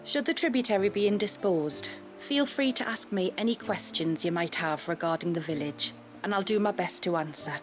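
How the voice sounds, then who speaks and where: An actress playing a courteous, helpful villager in a fantasy video game, speaking in formal, obliging phrases. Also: female voice, mid-range voice, normal speed, over music, close to an everyday voice. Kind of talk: video game cutscene acting.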